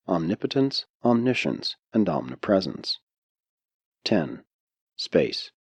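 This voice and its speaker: A man narrating a spiritual audiobook from a script in a measured, formal way with deliberate enunciation. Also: fairly low pitch, darkish tone, dry recording. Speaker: audiobook reader.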